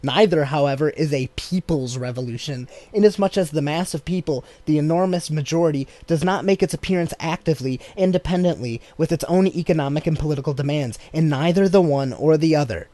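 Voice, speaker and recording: Man, audiobook reader, slightly high voice, measured steady delivery, dry close sound. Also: no room sound. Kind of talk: reading a political text aloud.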